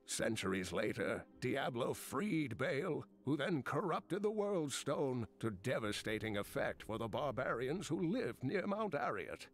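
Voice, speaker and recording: A man voicing a grave scholar who chronicles ancient lore in a fantasy game, in a solemn storytelling manner. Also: medium pitch. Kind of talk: scripted game lore narration.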